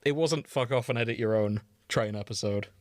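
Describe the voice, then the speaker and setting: A man's voice: medium pitch, brisk, darkish tone, no room sound, studio quality. A man hosting a podcast with casual banter, wry and teasing and happy to swear. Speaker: podcast host and editor.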